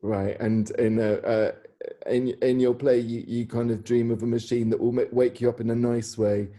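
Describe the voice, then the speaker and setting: A man with a very mellow, dark voice at a middle pitch hosts an informal interview. He feels his way through questions tentatively, often rephrasing as he goes. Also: level tone, normal speed. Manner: hesitant, tentative, rambling phrasing. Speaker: interviewer and theatre director. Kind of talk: informal question-and-answer hosting.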